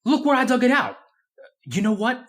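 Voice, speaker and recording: Radio horror drama role: man as skinny, nervous rig geologist, halting and jittery, very animated intonation. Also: very high-pitched, full character voice. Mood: urgent, alarmed. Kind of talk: old-time radio horror drama acting.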